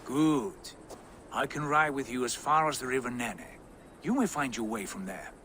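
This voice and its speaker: Bright, commanding Viking lord. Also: male voice, medium pitch, animated, moderate pace, a little hiss or hum. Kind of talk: video game cutscene acting.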